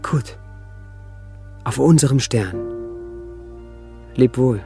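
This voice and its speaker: Wistful gentle man, very slow delivery, radio drama, music behind. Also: medium pitch, lively intonation, creaky, exaggerated voice.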